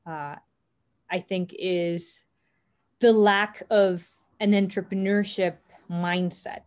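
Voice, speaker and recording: A young woman from Costa Rica, answering interview questions thoughtfully, with frequent pauses and restarts. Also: on the low side, little pitch movement, a little mellow. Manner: thoughtful, many fillers and restarts. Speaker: guest.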